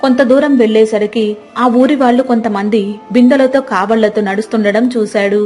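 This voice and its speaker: High-voiced woman narrating a story. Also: steady tone, a little fast, darkish tone, faint music behind. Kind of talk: scripted moral story narration.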